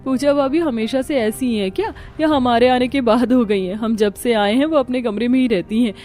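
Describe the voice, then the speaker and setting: Cartoon family story: chatty, bossy visiting relative played by a woman, fairly high pitch, light music behind. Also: normal speed, darkish tone, close to an everyday voice. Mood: gossipy. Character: demanding, chatty visiting married sister-in-law. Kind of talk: Hindi animated family-drama story acting.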